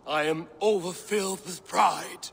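An actor playing a boisterous, proud Viking father who talks slowly and shouts with exultant pride in a video game cutscene. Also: sits high, creaky, breathy edge, heavily acted.

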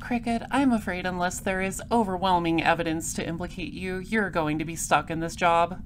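A woman playing a polite, persistent space patrol detective in an audio drama, questioning in a formal, measured way. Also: fairly low pitch, fairly even intonation, slight background noise, natural voice. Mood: probing. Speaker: lead detective and narrator.

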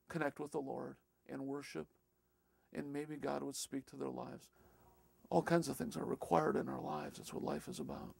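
A man's voice: medium pitch, vocal fry, darkish tone, husky, no echo. A man in his fifties, a chapel program leader, speaking earnestly and reflectively in a documentary interview with a lapel mic. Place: office with shelves of binders.

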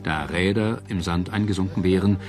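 A man reading scripted documentary narration in a measured, solemn manner. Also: deep voice, over music.

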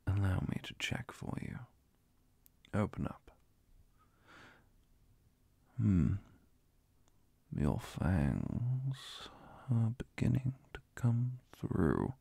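Man voicing a slow, gravelly, hushed vampire inquisitor. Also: sits low, dry recording, heavily acted. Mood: gently reassuring. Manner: formal, measured, hushed. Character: calm, courteous male vampire inquisitor, formal and protective. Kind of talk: soft-spoken ASMR roleplay with whispers. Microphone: close mic, dry.

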